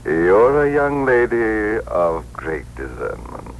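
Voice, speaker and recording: A man's voice: fairly low pitch, very animated, slow, full character voice. A man playing a pompous, unsentimental office boss in a radio drama, speaking precisely as if lecturing. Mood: brisk, self-satisfied. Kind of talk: 1960s radio acting. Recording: faintly noisy old radio broadcast.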